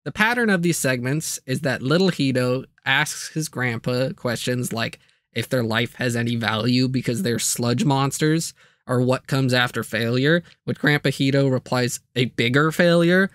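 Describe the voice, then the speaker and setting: A man's voice: medium pitch, moderate pace, fairly bright tone, dry recording, studio quality. A man delivering a casual, comedic scripted video review, with jokey asides and playful exaggeration.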